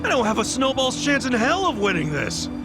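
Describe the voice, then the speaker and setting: An actor playing a brash, excitable ex-yakuza hero in a game cutscene, blunt, slangy and expressive. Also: male voice, very high-pitched, a little fast. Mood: incredulous. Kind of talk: animated game cutscene acting. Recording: music behind the voice.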